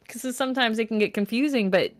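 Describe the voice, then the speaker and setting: A woman's voice: a little high, no room sound, clean studio sound. A woman chatting casually as a voice actor on a panel, telling things with exclamations and slang. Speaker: audio drama voice actor on a panel. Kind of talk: casual chat.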